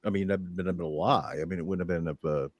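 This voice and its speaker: A man's voice: deep voice, steady tone, fast, no room sound, studio quality. A man, chatting casually on a video call, wry and teasing, given to rambling. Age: 50s. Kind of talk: casual group chat on a live stream.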